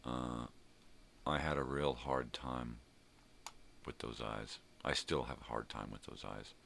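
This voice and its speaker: Very deep, gravelly older man rambling. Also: fairly even intonation, a bit bright, slight background noise. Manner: rambling, drawn-out, mild swearing. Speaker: vlog host. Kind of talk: casual rambling vlog chat.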